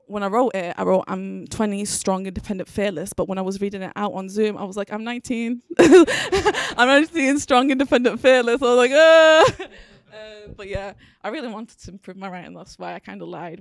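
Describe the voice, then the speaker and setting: A woman's voice: medium pitch, dry recording, studio quality. A young woman of twenty, a spoken word poet at a panel event, chatting about her pieces and then reciting them while seated with a handheld mic, a little shaky. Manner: chatty, self-deprecating asides. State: a bit shaky. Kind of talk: performing spoken word poetry. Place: panel event in a city venue.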